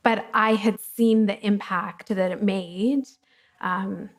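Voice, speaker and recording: A woman's voice: mid-range voice, fairly even intonation, slow pace. Candid chatty woman guest. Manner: chatty and candid, with frequent ums. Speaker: guest, company co-founder. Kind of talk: casual conversational interview. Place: coworking studio, fireside chat before an audience.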